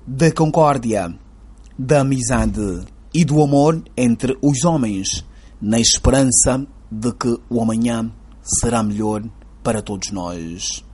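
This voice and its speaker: A man reading scripted news narration in a measured, solemn way. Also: medium pitch, darkish tone, husky.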